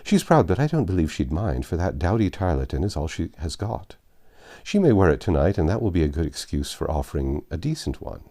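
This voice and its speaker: Storybook society gossip, a woman played by a man: low, mellow, knowing, condescending. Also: very animated, brisk. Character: gossiping society women in a nineteenth-century storybook.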